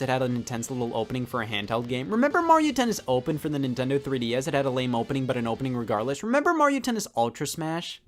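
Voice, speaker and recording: Man, scripted comedy review, emphatic, lively pitch, soft music underneath. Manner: emphatic, rhetorical questioning.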